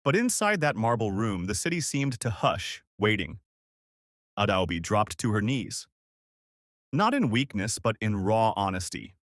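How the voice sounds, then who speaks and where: A man's voice: fairly low pitch, very animated, measured pace, fairly bright tone, dry recording, clean studio sound. A man narrating a scripted dramatic story as a storyteller.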